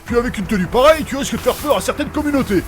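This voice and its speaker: A big tactless troll w/ crazy high voice, whiny, music behind, fantasy comedy audio. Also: male voice, expressive, racing. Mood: complaining. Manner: blunt, complaining.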